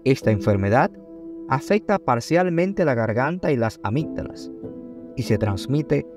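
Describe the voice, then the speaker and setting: A man reading a scripted biographical narration in a formal, measured way, in short phrases. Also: medium pitch, expressive. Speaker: documentary narrator. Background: background music.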